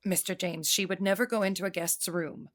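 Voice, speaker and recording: A woman's voice: fairly low pitch, steady tone, fairly quick, close to an everyday voice. An actress playing a kindly guest-house keeper and protective mother in an audio drama, polite and reassuring.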